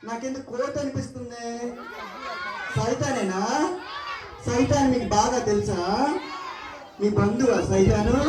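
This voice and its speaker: Female children's gospel show host, prompting and scolding, heard from a distance amid crowd noise. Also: fairly high pitch. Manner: questioning, scolding, prompting the audience. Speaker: puppet show presenter. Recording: echoey distant PA, noisy crowd.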